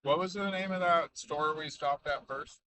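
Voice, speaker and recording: A man's voice: a little high, moderate pace, poor audio. Offhand musing man, crowd behind. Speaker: vlogger. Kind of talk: casual vlog chat.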